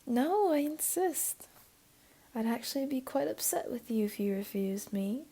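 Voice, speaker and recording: Casting: woman to play teasing, doting jaguar girl for ASMR roleplay; soft, mellow, whispery, slightly high voice. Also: lively intonation, unhurried, full character voice. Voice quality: soft, breathy, often whispery. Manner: teasing, cooing, soothing. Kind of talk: ASMR roleplay, intimate one-to-one.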